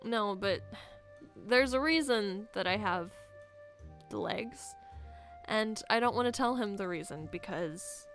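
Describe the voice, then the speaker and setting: A woman playing a troubled young camp girl in an improvised game roleplay, speaking haltingly and trailing off as she confides. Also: medium pitch, expressive, fairly bright tone, faint music behind. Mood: worried, unsure. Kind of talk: improvised Minecraft roleplay dialogue.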